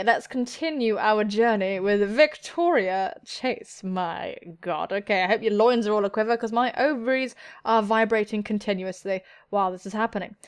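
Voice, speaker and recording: A woman hosting a let's play, joking bawdily and excitably as she reads visual novel text aloud. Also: on the high side. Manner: bawdy, excitable, self-mocking joking. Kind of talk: playful gaming commentary while reading visual novel text aloud. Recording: music playing behind the voice.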